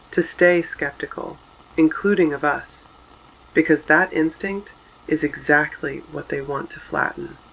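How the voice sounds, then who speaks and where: A gravelly actor as a male announcer of an underground resistance broadcast, his voice a little high. He is solemn, guarded and deliberate, delivering short statements in a dystopian audio drama. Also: fairly bright tone, muffled recording, full character voice. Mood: earnest, urging. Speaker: broadcast host. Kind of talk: scripted dystopian broadcast narration.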